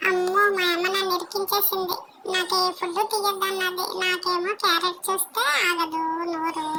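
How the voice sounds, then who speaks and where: A woman voicing a cheeky, sulky little female pet dog in a squeaky childlike baby voice, talking back sassily. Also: flat delivery, moderate pace. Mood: whiny. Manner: sassy, whiny, talks back. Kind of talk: pet voice-over for a home vlog. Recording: loud background noise.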